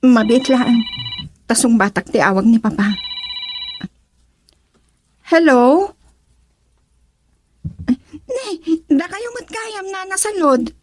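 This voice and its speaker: An actress with a Filipino accent playing a devoted young daughter and sweetheart in a radio drama, expressive and earnest. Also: female voice, sits high, slow pace, long pauses, no echo, heavily acted. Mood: eager.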